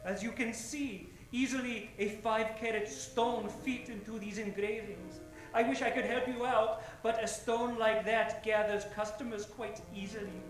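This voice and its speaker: A man's voice: sits high, steady tone, normal speed, natural voice. A man playing a slippery, smugly polite pawnbroker in an old-time radio drama, with affected, formal phrasing. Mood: smugly evasive. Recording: roomy sound, faint music behind.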